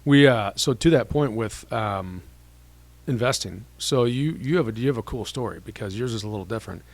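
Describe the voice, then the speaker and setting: A man's voice: medium pitch, no echo. A man hosting a casual podcast interview, a mortgage lender with a chatty, upbeat manner. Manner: chatty, upbeat, quick affirmations.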